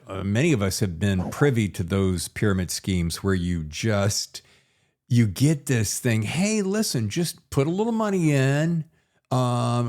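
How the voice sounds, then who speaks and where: Guy podcast host, medium pitch, chatting through his questions with a guest. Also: darkish tone. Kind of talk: conversational interviewing.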